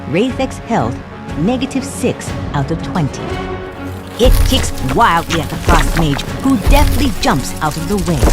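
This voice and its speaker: A woman narrating a tabletop fantasy adventure as game master, in dramatic scripted storytelling style. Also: mid-range voice. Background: music.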